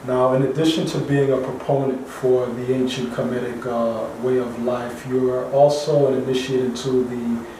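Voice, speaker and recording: A man's voice: medium pitch, level tone, normal speed, hardly any pauses, mellow. A man interviewing an author, asking long questions with many "uh" and "you know" fillers. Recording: echoey far-off room, faint noise.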